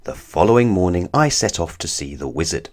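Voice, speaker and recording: Animated man reading a children's storybook. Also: low voice, a little fast. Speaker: storybook reader. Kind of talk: expressive scripted children's story narration.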